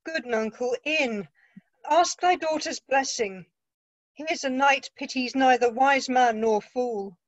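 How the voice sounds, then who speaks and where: A woman reading the part of a quipping male court fool, in brisk riddling patter. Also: high-pitched, dry recording, lo-fi sound. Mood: pleading. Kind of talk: play read-through.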